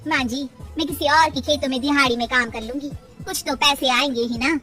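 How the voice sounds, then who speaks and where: A woman's voice: high voice, fairly even intonation, fairly quick, bright, forceful tone, distant mic, faint music behind. A woman voicing a young village daughter-in-law who speaks respectfully and earnestly.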